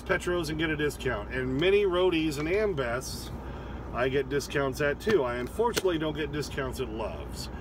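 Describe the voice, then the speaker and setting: A man's voice: a little high, background noise. A man in his fifties, a truck driver vlogging from his cab, chatty and rambling with many fillers and restarts. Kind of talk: casual vlog talk to camera.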